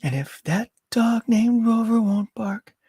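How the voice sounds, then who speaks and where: A man's voice: very high-pitched, slow, mellow, slightly rough, dry recording, pitched above the performer's own voice, full character voice. Storybook figure: an eerie young mother crooning a lullaby, put on by a male narrator, dry close sound. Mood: sing-song crooning. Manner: sing-song crooning.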